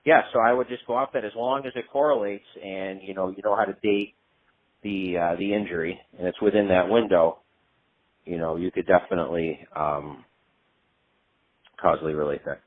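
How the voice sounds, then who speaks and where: A man's voice: steady tone, moderate pace. A man giving casual professional advice on a phone consultation, explaining step by step and checking in as he goes. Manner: explanatory, checks in with "right?" and "you know". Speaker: medical consultant advising a colleague. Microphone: telephone line. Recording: tinny narrowband phone call, low audio.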